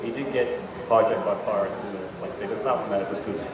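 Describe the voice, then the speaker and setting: A man's voice: on the low side, fairly even intonation, quick pace, very noisy. A man speaking as an author on a festival panel, hesitant, with many restarts and fillers. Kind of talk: panel discussion. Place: large hall with a live audience. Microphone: handheld mic, heard from far off. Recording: echoey hall recorded from far off, crowd behind.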